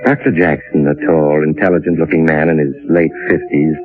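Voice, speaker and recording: An actor playing an eerie, inviting storyteller, narrating in old-time radio suspense style. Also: male voice, a bass voice, steady tone, brisk. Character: eerie, inviting mysterious host of strange tales. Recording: narrowband old radio recording, loud music.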